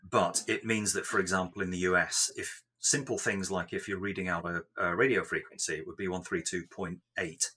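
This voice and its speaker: Male tech interviewee, deep register with a forward, bright edge, explaining carefully and in measured phrases. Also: fairly even intonation, breathy edge. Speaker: software developer being interviewed.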